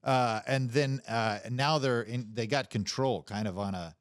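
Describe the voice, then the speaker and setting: Dude rambling on a livestream, average pitch, kinda halting, swears a bit, dry close sound. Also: male voice, clear, resonant tone, no room sound. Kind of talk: casual livestream chat.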